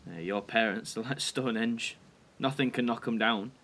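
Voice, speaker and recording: A man's voice: medium pitch, moderate pace, fairly bright tone. Man acting a troubled Northern English wartime husband. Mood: tender, wry. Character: troubled, tender wartime husband in 1940, self-doubting factory worker. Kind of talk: audio drama acting.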